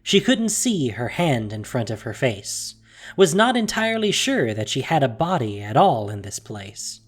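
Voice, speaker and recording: A male narrator delivers scripted dramatic fiction in a mid-range voice. He is solemn and deliberate, pausing for weight, with music quietly underneath. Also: mid-range voice.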